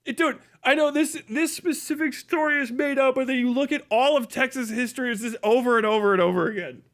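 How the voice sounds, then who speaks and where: A man's voice: extremely high pitch, fairly quick, fairly bright tone. A man livestream host on a casual comedic rant, swearing freely, with mocking, exaggerated storytelling and laughter breaking into his talk. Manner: profane; laughs often while talking.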